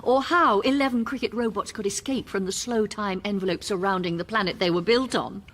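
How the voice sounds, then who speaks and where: An actress playing a sharp, cool-headed young space traveller, assertive and probing, in a radio comedy. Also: female voice, mid-range voice, a little fast, lightly acted. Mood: cool, questioning. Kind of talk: radio comedy drama acting.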